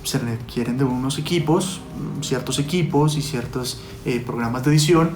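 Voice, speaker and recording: A man's voice: medium pitch, moderate pace, darkish tone. Man explaining, in an echoey room. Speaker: podcast producer, interview guest. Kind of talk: explanatory interview answers. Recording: roomy echo, faint music.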